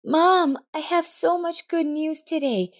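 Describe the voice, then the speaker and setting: A woman's voice: sits high, slow pace, pitched above the performer's own voice. A woman voicing an earnest little girl in a narrated story. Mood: excited, happy. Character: anxious, bitter little girl who later brims with excitement.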